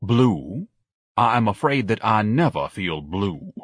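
Man playing a pompous, husky, indignant grown son. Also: on the low side, very animated, slow, dry recording, studio quality, full character voice. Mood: aggrieved, haughty. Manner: pompous, over-articulate, haughty declaiming. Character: pompous, indignant, self-pitying grown son, grandiose and melodramatic. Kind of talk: audiobook character voice.

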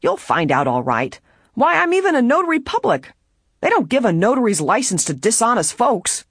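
Narrator putting on an indignant fiftyish man, pitched up and husky. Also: big pitch swings, fairly quick, no room sound, clean studio sound. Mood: insistent. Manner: protesting, insistent. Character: indignant man of about fifty, caught trespassing.

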